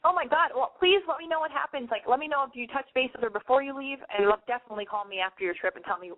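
A woman's voice: sits high, rapid-fire, no room sound, phone-quality sound. A woman hosting a call-in advice show, giving chatty, emphatic big-sister advice. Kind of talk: casual advice call-in chat.

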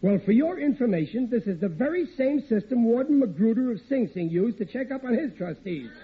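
A man's voice: very high-pitched, expressive, brisk, crowd noise. An actor playing a blustering, suspicious middle-aged working-class father with an American accent in vintage radio sitcom style. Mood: stern, blustering. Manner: blustering, indignant, ungrammatical speech. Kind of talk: 1940s radio situation comedy acting. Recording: noisy, tinny vintage radio recording.

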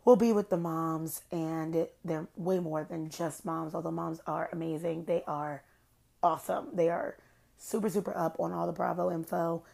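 Young woman, podcast host, hoarse, rambling gossip chat, lowish gravelly voice. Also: fairly even intonation, normal speed, dark tone. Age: young adult. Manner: chatty, rambling, self-described low-key shady. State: hoarse, has lost her voice. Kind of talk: casual solo podcast chat.

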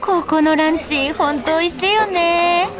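A woman's voice: very high voice, voice pushed up. A woman playing a cheerful, self-deprecating young office worker with a secret power in a radio drama. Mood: cheerful, teasing. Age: 20s. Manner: bubbly, self-deprecating, quick to retort. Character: cheerful 24-year-old female office worker, secretly psychic. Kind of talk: radio drama acting and first-person narration.

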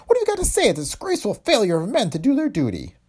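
A wiry older factory owner rants at everyone in a shrill, piercing voice. His words jump high and stay loud as he scolds. It has the feel of a pulp storybook reading. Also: big pitch swings, moderate pace, full character voice. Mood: ranting. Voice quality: shrill, ranting.

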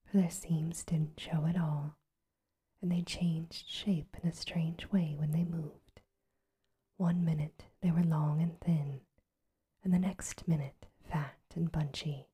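A woman reading a bedtime story aloud in a soft, even ASMR whisper. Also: low voice, slightly rough.

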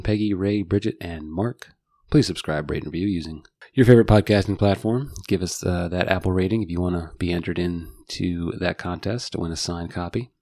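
A man's voice: low-pitched, no room sound. A man co-hosting a thriller book podcast, wrapping up the episode and reading out thanks to listeners. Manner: steady, reads out thanks and plugs. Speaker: podcast co-host. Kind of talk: podcast outro and sign-off.

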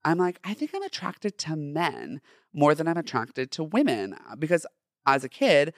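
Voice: mid-range voice, big pitch swings, moderate pace, dry recording, studio quality. A podcast host in their twenties with a feminine-sounding voice, talking casually and playfully in chatty, slangy, emphatic monologue. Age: 20s.

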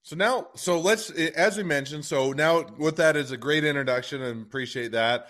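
A man's voice: fairly high pitch, normal speed, a bit bright. A man hosting a podcast interview, introducing his guest and asking loose, self-correcting questions. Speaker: podcast host.

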